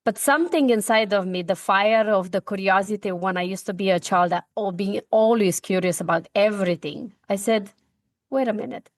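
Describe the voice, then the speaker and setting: A woman's voice: medium pitch, no echo. Earnest middle-aged Romanian-accented woman. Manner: earnest, heartfelt, halting with restarts. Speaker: guest, speaker and coach. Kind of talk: personal story in a podcast interview.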